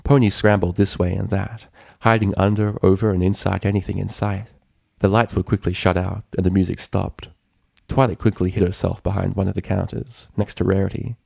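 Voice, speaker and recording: Low, gravelly male story narrator. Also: little pitch movement, a little fast, darkish tone, no room sound, clean studio sound. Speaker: fan fiction reader.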